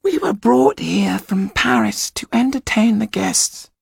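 A man voicing a small fellow with a squeaky, girly voice in an audiobook, speaking slowly and earnestly. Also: dry recording, clean studio sound, exaggerated voice. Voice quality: squeaky, raspy. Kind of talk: audiobook character voice.

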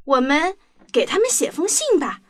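A woman playing a cheerful, expressive little girl in a children's radio drama. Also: high-pitched, moderate pace, dry recording. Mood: earnest. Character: Anna, cheerful little girl fishing by the sea.

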